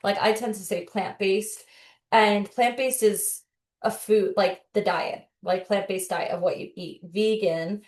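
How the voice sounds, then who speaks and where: A woman's voice: mid-range voice, steady tone, a little slow. A woman hosting a podcast, chatting casually and agreeably, with frequent "like" and "yeah". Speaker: podcast host. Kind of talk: casual interview chat. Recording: roomy echo.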